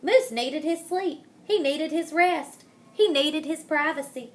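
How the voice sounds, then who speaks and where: A woman reading a children's picture book aloud in a dramatic, deliberate manner, savoring the rhymes and wordplay. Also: high voice. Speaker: storybook reader.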